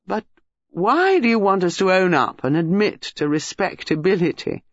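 A reader voicing an excitable, devoted young wife in a breathless, incredulous, pleading manner. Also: medium pitch, normal speed, no room sound, lo-fi sound, pitched above the performer's own voice. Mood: amused.

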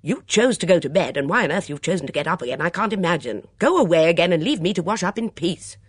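A woman voicing a sharp-tongued, impatient young woman in an audiobook story, cross and dismissive. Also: on the low side, lively intonation, fast talker, slightly rough, no room sound, clean studio sound, pitched above the performer's own voice.

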